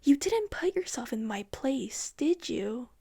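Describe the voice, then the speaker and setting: A woman voicing a bitter, sneering rogue policeman who turns whiny with self-pity, in a breathy, half-whispered storybook reading. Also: high-pitched, sing-song, takes it slowly, exaggerated voice. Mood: menacing. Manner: sneering, whining.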